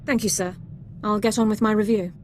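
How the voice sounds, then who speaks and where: A woman's voice: mid-range voice, steady tone, a little fast, darkish tone, slight background noise, lightly acted. A woman playing an eager, rule-bound young female sergeant who speaks formally and precisely in a video game. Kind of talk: video game cutscene acting.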